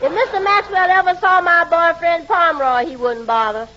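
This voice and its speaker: An actress playing a good-natured, sassy, wisecracking housemaid in a 1940s radio comedy. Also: female voice, extremely high pitch, normal speed, over a noisy crowd. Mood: good-natured, joking. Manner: familiar, wisecracking. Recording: muffled noisy old radio recording before audience.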